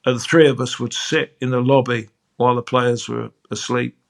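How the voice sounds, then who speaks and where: A man's voice: medium pitch, flat delivery, normal speed, creaky. An older man, a veteran sports broadcaster, telling fond anecdotes in a chatty interview, often restarting his sentences.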